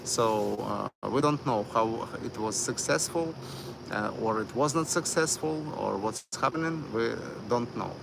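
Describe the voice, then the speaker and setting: A man hosting a live stream, talking slowly with many fillers and broken grammar. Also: medium pitch, gravelly, background noise. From United States. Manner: hesitant, many fillers, non-native grammar. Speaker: livestream host.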